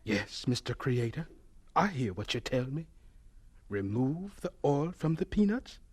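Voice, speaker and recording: An actor playing a devout, humble elderly scientist in a radio drama, husky and deliberate, absorbed in talking with God. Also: male voice, mid-range voice, sing-song, darkish tone, soft background music. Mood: absorbed, hushed.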